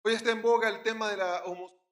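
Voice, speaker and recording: A male pastor with a Puerto Rican accent delivers an emphatic sermon in a high, ringing voice. He swings from loud stressed words to quiet drops. The sound is roomy, with the echo of a church hall. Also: fairly even intonation, rapid-fire.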